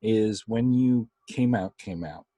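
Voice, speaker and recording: A man hosting a casual interview chat, with a deep bass voice and a halting, filler-heavy way of talking. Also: dark tone, no echo. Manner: halting, many fillers and restarts, laughs often. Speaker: podcast host.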